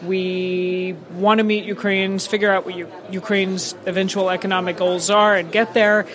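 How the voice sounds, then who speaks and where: A woman's voice: mid-range voice, flat delivery, normal speed, a crowd in the background. Conversational woman radio interviewer. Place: busy conference entrance.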